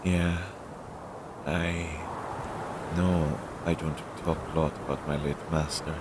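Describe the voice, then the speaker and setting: Audio drama familiar, male actor: nervous, self-doubting and devoted, slow hesitant delivery in a low register, clearly noisy recording. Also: level tone. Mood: reluctant, wistful. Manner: hesitant, halting, fretful. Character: anxious, self-doubting magical familiar devoted to his master. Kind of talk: scripted audio roleplay, one-sided dialogue. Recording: low-fidelity audio with clear background noise.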